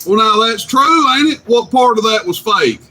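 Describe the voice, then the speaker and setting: A man's voice: high-pitched, animated, moderate pace, a loud crowd. A man teasing and joking with a friend on CB radio. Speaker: CB radio operator. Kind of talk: casual radio banter. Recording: noisy CB radio transmission.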